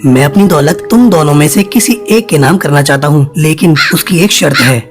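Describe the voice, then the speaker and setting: A man's voice: fairly high pitch, normal speed, faint music behind, lightly acted. A man voicing an old, wealthy male crow, a solemn and fatherly master in a cartoon fable. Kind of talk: Hindi cartoon moral story voice acting.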